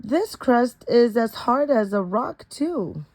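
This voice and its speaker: A woman's voice: a little high, lively intonation, takes it slowly, darkish tone, low-quality recording. A woman reading a children's picture book aloud expressively, with the occasional stumble over a word. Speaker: storybook reader.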